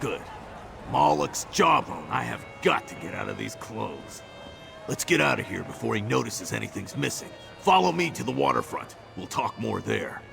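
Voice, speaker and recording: An actor playing a gruff, boastful orc companion who teases and swears, with a gravelly voice. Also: male voice, lowish voice, big pitch swings, normal speed, over music, full character voice. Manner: boisterous, teasing, coarse. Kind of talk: video game voice acting.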